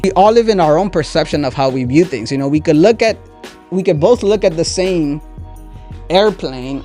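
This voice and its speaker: Higher-voiced young man, motivational podcast talk. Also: darkish tone. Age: 20s. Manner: earnest, conversational, frequent "right?" tags. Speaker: podcast host, entrepreneur. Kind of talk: motivational talk, sharing lessons directly to listeners.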